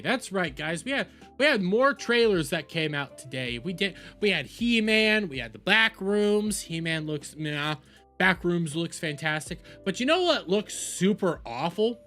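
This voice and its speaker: A man hosts a livestream with opinionated reaction commentary. His delivery is emphatic and animated, with pitch that moves around a lot, and he often repeats phrases to drive a point home. Faint music plays behind his voice. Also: moderate pace.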